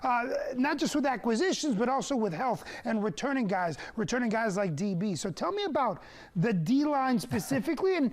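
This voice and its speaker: A woman's voice: a little high, sing-song, normal speed, darkish tone. A woman working as a local TV sports host, asking questions in a conversational interview style.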